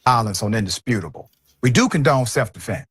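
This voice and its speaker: Husky male commentator reading news. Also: mid-range voice, lively intonation, fairly bright tone, no room sound, studio quality. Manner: measured, reads with commentary. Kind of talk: reading a news report aloud.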